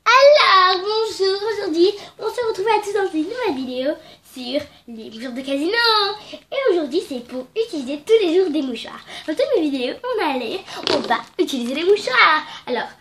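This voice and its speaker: A young woman with a high voice talks her way through a home craft video, rambling and playful. Her nose is stuffy from a cold. She records on a phone at home, and a faint noise sits behind her. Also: expressive, moderate pace, hardly any pauses, fairly bright tone. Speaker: craft vlogger. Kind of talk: casual craft tutorial. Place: at home indoors. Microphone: phone camera.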